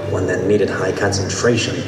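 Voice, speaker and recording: A man voicing a precise, unflappable inventor pony in a cartoon radio play, deadpan and formal. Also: low-pitched, normal speed, far from the mic, lightly acted. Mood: matter-of-fact. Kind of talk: comic animated radio play. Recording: echoey hall playback, loud music behind.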